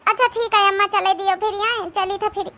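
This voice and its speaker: Woman voicing a very high, plaintive Awadhi young wife. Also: steady tone, brisk, bright, forceful tone. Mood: worried. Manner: plaintive, lamenting. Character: worried, plaintive young married daughter, short of money. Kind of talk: cartoon comedy voice acting.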